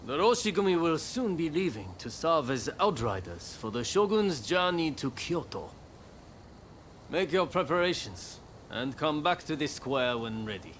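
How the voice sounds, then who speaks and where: A man's voice: fairly high pitch, sing-song, moderate pace, a bit bright, a little hiss or hum. A man playing a shrewd, assured samurai militia leader in a video game, with an authoritative manner. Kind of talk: video game cutscene acting.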